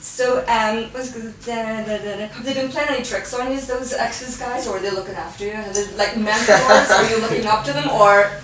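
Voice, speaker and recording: Female interviewer, chatty and keen. Distant mic in a heavily reverberant venue with clear background noise. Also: on the high side, steady tone, a little fast, few pauses, a bit bright. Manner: enthusiastic, chatty. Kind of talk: casual on-camera band interview. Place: indoors at a music venue after a show. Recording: very echoey noisy venue room, distant mic.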